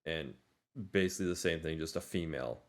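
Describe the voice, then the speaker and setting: A man co-hosting a podcast, chatting casually in an informal, halting, jokey way. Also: a bass voice. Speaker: podcast co-host. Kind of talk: casual chat about movies.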